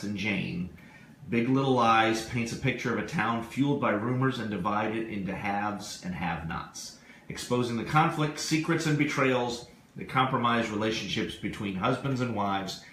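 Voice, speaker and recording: Low-voiced man presenting in an echoey room. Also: fairly bright tone. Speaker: radio show co-host. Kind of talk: reading out a review on a live webcast. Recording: roomy echo, faint background noise.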